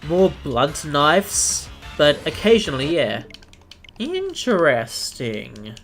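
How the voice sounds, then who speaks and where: Australian man, casual stream host, slightly high voice, hunts for words, faint background music. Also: big pitch swings, slow, fairly bright tone. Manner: chatty, searches for words, self-deprecating. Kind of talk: casual live-stream chat.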